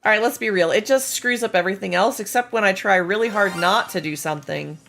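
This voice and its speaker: A woman with a slightly hoarse, gravelly voice, chatting casually with viewers on a game live stream while reading and answering chat. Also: medium pitch, quick pace, a bit bright, slight background noise. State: slightly hoarse, losing her voice.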